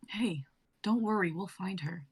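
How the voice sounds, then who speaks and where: A woman's voice: mid-range voice, unhurried, slightly rough. A woman voicing Leona, a fierce, determined woman who speaks firmly.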